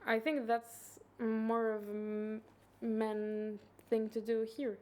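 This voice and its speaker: Woman with a higher voice. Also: level tone, takes it slowly, darkish tone. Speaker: podcast guest. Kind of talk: casual chat.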